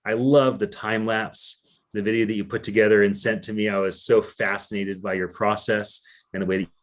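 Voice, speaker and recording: A man's voice: lowish voice, little pitch movement, moderate pace. A man hosting a live-streamed interview, friendly and effusive with his guests. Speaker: event co-producer and host.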